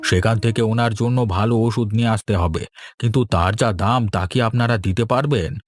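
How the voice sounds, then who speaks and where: A man voicing a grave but kindly village doctor in a cartoon, with solemn, deliberate delivery. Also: on the low side, natural voice. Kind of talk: Bengali moral-tale cartoon dubbing.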